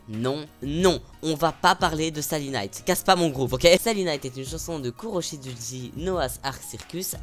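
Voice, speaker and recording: A man with a voice of ordinary pitch talks through a stage musical in a scripted review. He is opinionated and sarcastic, and he breaks into heated outbursts now and then. Faint music plays underneath. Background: faint background music.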